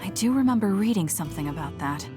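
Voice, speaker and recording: A woman's voice: medium pitch, animated, quick pace, close to an everyday voice. An actress playing a stern, reserved but caring young female soldier in a video game, with a cool, matter-of-fact delivery. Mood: questioning. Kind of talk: video game English voice acting. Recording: game music behind the voice. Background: game music.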